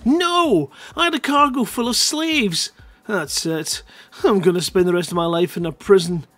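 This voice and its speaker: A man's voice: high-pitched, moderate pace, a bit bright, dry recording, faint music behind. A man voicing a panicked, horrified smuggler pilot, exclaiming in an overwrought, dramatic way.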